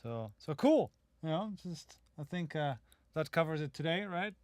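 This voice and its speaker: A man's voice: on the high side, lively intonation, normal speed, no room sound. A man co-hosting a casual studio chat, mostly chiming in with brief agreement.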